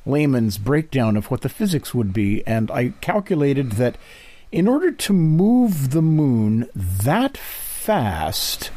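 Animated, mellow-voiced man hosting. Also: medium pitch, slow, no room sound. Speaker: interview host. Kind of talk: casual interview chat.

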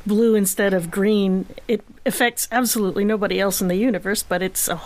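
A woman's voice: medium pitch, steady tone, a little fast, a little mellow. A woman chatting casually as co-host of an interview podcast. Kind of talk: casual chat.

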